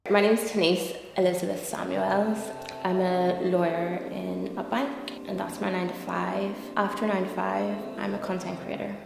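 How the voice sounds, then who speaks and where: A woman's voice: mid-range voice, level tone, moderate pace. A young woman with a Belfast Northern Irish accent talks candidly in an interview, conversational and peppered with "like" and "you know". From Belfast, Northern Ireland. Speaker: interview guest, lawyer and content creator. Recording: echoey reverberant room, clear music behind. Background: music.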